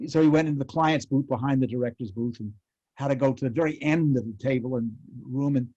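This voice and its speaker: Mellow older man, muffled call audio. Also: medium pitch, slightly rough. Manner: halting, rambling storytelling. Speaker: panel guest, former child radio actor. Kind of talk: casual reminiscing. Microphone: video call.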